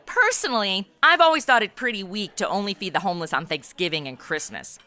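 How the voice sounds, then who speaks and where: A woman's voice: medium pitch, animated, quick pace, pushed and bright. A woman playing a snarky modern young superhero sidekick in a comedy audio drama, tossing off dry, sassy asides.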